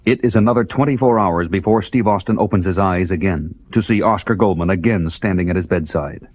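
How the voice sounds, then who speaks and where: A man's voice: sits low, a little fast, slight background noise. A man reading dramatic scripted narration as the narrator of a story. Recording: very loud music under the voice.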